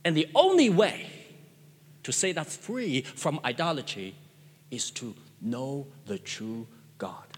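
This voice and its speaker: Slow, husky pastor preaching in a hall. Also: male voice, medium pitch, very animated. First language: Chinese. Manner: earnest, repeats phrases, stammering restarts. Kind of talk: sermon.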